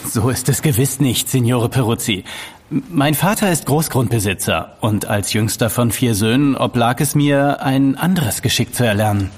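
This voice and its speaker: An actor playing an ambitious, deferential young apprentice bookkeeper in an audio drama, earnest and polite. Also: male voice, medium pitch, normal speed, slightly rough, natural voice. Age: young adult. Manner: earnest, polite, formal diction. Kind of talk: German audio drama acting.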